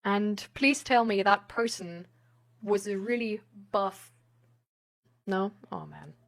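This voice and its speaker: A woman's voice: medium pitch, slow pace, gravelly. A woman live streamer chatting casually with her viewers in a rambling, giggly way with hesitant phrasing. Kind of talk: casual chat with viewers, reading out their messages.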